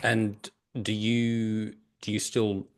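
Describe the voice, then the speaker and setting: A man's voice: on the low side, little pitch movement, slow. A man who is a native English speaker, hosting a casual podcast interview and often repeating words as he frames his questions.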